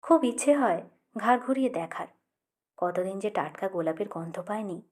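A woman's voice: medium pitch, lively intonation, moderate pace, a little mellow. A woman narrating a literary short story expressively, as an audiobook reader.

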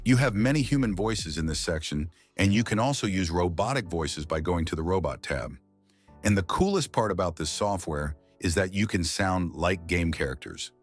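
A man hosting a scripted software tutorial, narrating as the presenter. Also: deep voice, animated, normal speed, breathy edge, faint music behind. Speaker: YouTube tutorial host.

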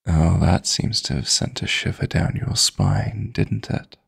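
A man voicing a possessive, flirty yet tender dream demon lover in an intimate ASMR sleep-aid roleplay, coaxing in a husky, breathy voice with formal endearments. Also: low voice, measured pace, fairly bright tone, heavily acted. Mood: seductive longing. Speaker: audio roleplay performer.

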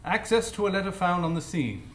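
An actor playing a composed, precise gentleman detective in a live radio drama, speaking formally. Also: high-pitched, animated, brisk. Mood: measured. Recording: echoey live stage recording.

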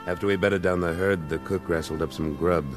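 A man acting as a tough American cattle boss with a fairly low voice, terse and dry. He gives commands curtly in old western radio style, with music behind him on a vintage recording. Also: fairly even intonation, fairly quick, close to an everyday voice. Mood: grim narrating. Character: tough, curt cattle rancher and trail boss.

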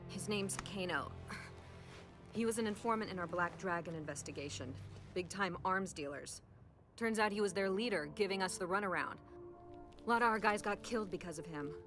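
An actress plays a tough special forces woman in a fighting game. She has an American accent and a curt, no-nonsense delivery, with clear music underneath. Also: mid-range voice, fairly quick, a bit bright, close to an everyday voice. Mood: matter-of-fact. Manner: curt, businesslike.

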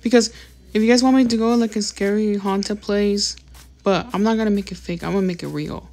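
A woman's voice: medium pitch, brisk, darkish tone. A woman talking casually over her gameplay as a YouTuber, rambling and apologizing often, with many fillers. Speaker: gaming YouTuber.

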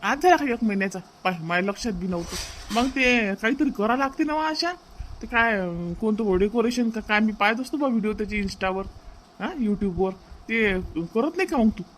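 A woman's voice: fairly high pitch, expressive, moderate pace, voice pushed down, lightly acted, poor audio. A doting cartoon village father, acted by a woman, homely and insistent with his questions. He has a Varhadi Marathi accent, over faint background noise. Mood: eager, coaxing.

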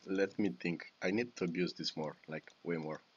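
A man's voice: sits low, moderate pace, breathy edge, dull audio. A young man with a Romanian accent commenting over his own gameplay at home, cursing at misplays. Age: young adult. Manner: swears and exclaims at misplays. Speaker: gaming YouTuber. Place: small home room.

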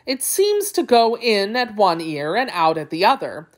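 Sly jackal for a storybook, played by a woman: polite, dithering, playing confused, with very animated swooping pitch. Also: measured pace. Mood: puzzled, polite. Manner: polite, dithering, feigning bewilderment. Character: sly jackal feigning befuddled, fretful confusion. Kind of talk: storybook character voice.

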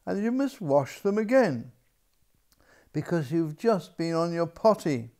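A man's voice: on the high side, sing-song, unhurried, dark tone. An older man voicing a stern, nagging king in a storybook, firm and insistent.

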